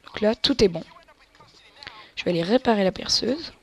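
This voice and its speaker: A woman's voice: medium pitch, expressive, normal speed, husky. A woman with a cold giving casual, crude, joking gameplay commentary and explaining tactics to viewers as a let's play gamer. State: has a cold.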